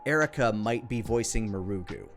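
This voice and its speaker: A man's voice: on the low side, expressive, moderate pace. A man in his thirties with an American accent giving casual, off-the-cuff commentary in a rambling way, often interrupting himself. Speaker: video creator. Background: background music.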